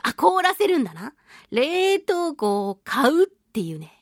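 A woman voice actress hosting a chatty solo radio show, slightly nasal from a mild head cold, with an animated, playful and self-deprecating manner. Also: high voice, a little slow, dry recording, studio quality. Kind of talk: chatty solo radio talk with listener mail.

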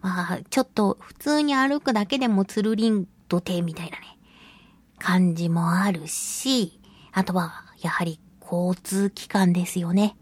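A woman's voice: on the low side, unhurried, husky, no echo. A woman hosting a casual solo radio talk, chatting in a playful manner. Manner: playful, chatty, laughs often. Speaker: radio show host and voice actress.

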